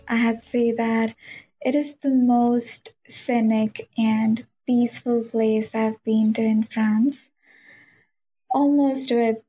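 A woman reflecting slowly and haltingly, with pauses between phrases. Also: on the high side, dark tone, breathy edge. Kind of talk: personal reflection. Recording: dull lo-fi recording.

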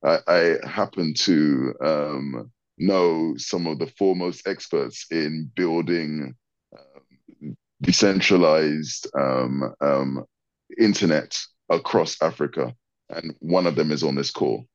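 A man with a very deep voice talks through an idea as a guest, stumbling on ums and repeated words. His voice comes over a dull, dry video-call line. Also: slow pace, no room sound. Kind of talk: conversational explanation.

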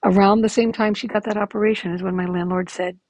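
Raspy, gravelly-voiced woman in her fifties. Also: medium pitch, fairly even intonation, quick pace, darkish tone, no echo. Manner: rambling, candid, frequent ums. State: hoarse from illness. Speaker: podcast host. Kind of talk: casual personal update.

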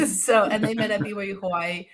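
A woman in conversation, telling family stories in a relaxed, laughing way and sometimes quoting others with lively voices. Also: medium pitch, normal speed, crowd noise. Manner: laughing, animated storytelling with quoted voices. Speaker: guest. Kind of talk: casual podcast chat.

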